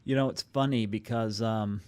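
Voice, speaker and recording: A man's voice: on the low side, steady tone, normal speed, darkish tone, clear, resonant tone. A man hosting a podcast interview who laughs along and asks questions. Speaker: podcast host. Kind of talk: casual interview.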